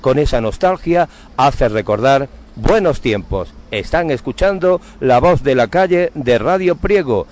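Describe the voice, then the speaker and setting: A man's voice: mid-range voice, animated, a little slow, a little mellow. A man hosting a conversational local radio interview, effusive and courteous, with florid phrasing. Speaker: radio host interviewing on the street.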